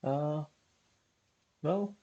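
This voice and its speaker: A woman's voice: low voice, drawn-out words, dull audio. A woman streaming a game live, chatting casually and reacting aloud to what happens. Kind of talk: casual live gameplay commentary. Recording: loud game music under voice. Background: loud game music.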